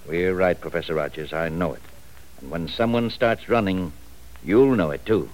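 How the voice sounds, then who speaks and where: A man's voice: sits low, moderate pace, natural voice. An actor playing a grim male schemer who speaks with clipped, insistent certainty in 1940s radio style. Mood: determined. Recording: hissy old radio recording with clicks.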